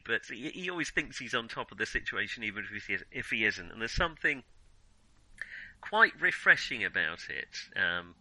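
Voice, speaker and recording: Male film podcast co-host: sharp bright tone, dry close sound, halting and amused, conversational. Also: medium pitch, dry recording, poor audio. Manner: hesitant, halting, thinking aloud; amused, chuckles while talking. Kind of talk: casual film discussion.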